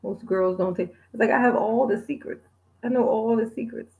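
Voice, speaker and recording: A woman in her forties hosting a livestream, chatting casually with viewers, laid-back and quick to laugh. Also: on the high side, vocal fry, narrow, tinny audio. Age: 40s. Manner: laid-back, laughs often, sings bits. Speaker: livestream host. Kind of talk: casual chat reading viewer comments.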